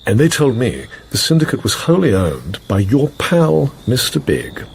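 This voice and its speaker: An actor playing a British intelligence officer in a radio drama, briefing an agent in hesitant, clipped upper-class phrases. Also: male voice, medium pitch, wildly swooping pitch, a little slow, creaky, full character voice. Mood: grave, dramatic. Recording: faint background noise and ambience.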